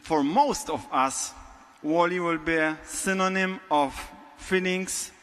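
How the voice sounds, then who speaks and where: A man with a Polish accent delivers a prepared keynote in a large hall. His voice sits a little high and bright, and he speaks very slowly, pausing between short phrases. A long reverb from the room trails after each phrase. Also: clear, resonant tone. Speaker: conference speaker.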